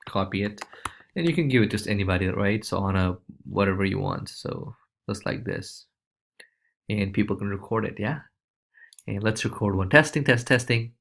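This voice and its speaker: A man presenting a casual software walkthrough, talking informally and loosely with frequent short check-in tags. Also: on the low side, moderate pace, creaky.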